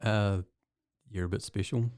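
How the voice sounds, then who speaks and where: A man from New Zealand with a Kiwi accent, chatting as a guest artist in a relaxed interview, with plenty of ums and soft laughs. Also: on the low side, unhurried, dry recording, studio quality. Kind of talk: casual interview chat.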